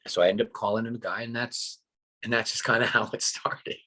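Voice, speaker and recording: A man in his forties with a very low, husky voice, talking as a podcast guest. He is reflective and loose, often restarting his sentences as he thinks aloud. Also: fairly even intonation, fairly quick, pushed and bright. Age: 40s. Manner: reflective, rambling with many restarts. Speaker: coach, guest. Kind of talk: casual podcast conversation.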